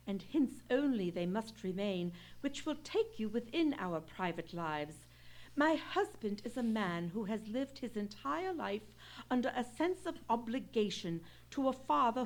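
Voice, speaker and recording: A woman's voice: on the high side, a little mellow. A woman playing an anxious, eloquent English aristocratic lady in an old-time radio play, speaking in formal, theatrical period diction.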